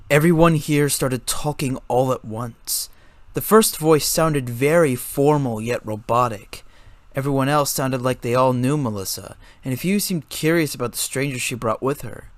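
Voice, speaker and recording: A man's voice: mid-range voice, dry recording. Man narrating a story, dry sound. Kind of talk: scripted dramatic story narration.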